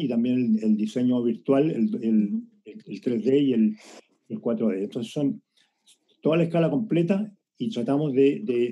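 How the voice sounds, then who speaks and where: A man moderates an online academic panel in a very dark, soft-edged voice. His tone is husky and mid-pitched, and he speaks haltingly, repeating words as he builds his sentences. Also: poor audio. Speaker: forum moderator. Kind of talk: unscripted introduction at an academic online forum. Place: remote online video forum.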